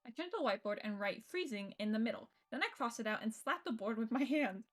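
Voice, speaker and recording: Female streamer narrating a visual novel, higher register, reactive and conversational, game music behind her. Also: quick pace. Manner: expressive, reactive, slipping into asides. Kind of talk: live stream read-aloud of a visual novel with casual reactions.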